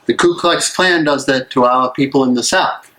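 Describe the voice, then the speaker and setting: A man acting an earnest, weary American minister of the 1930s in a live dramatic reading, speaking emphatically and deliberately. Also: mid-range voice, bright, forceful tone, close to an everyday voice. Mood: aggrieved, earnest. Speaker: actor in a dramatic play reading.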